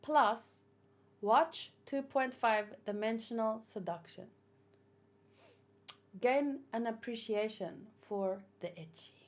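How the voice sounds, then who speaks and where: A woman's voice: fairly high pitch, slow, long pauses. A woman giving informal, opinionated commentary as she hosts a casual live read-along and talks with chat. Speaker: manga read-along host.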